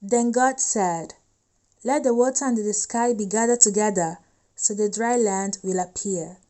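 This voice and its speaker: A woman's voice: mid-range voice, a little slow, dark tone. A woman giving a scripted reading of a scripture story. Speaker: volunteer scripture reader.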